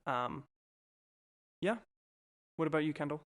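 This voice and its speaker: Young male co-host, twenties, smooth clear voice, relaxed rambling chat. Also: fairly high pitch, unhurried. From Colorado, USA. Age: 20s. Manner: rambling, easygoing, lots of fillers. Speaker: podcast co-host. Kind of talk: casual chat.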